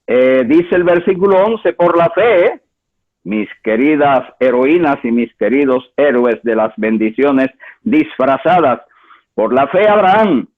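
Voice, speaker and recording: A man from Cuba preaching a sermon as pastor, with emphatic delivery that swings between high exclamations and low asides, repeating words for effect. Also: lively intonation, measured pace. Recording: tinny phone-like line.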